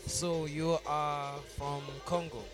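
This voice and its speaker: A man's voice: on the high side, flat delivery, takes it slowly, over music. A man hosting a casual interview in an informal, slangy way, probing with follow-up questions. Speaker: podcast host, interviewer.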